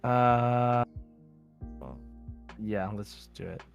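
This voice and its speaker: A man's voice: medium pitch, big pitch swings, very slow, vocal fry. A man chatting casually as a podcast co-host who takes part in a quiz. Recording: faint music behind the voice. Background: faint music.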